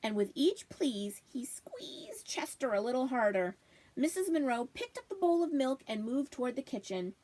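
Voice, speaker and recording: A woman storyteller giving an expressive read-aloud of a children's novel. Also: sits high, moderate pace. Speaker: library storyteller.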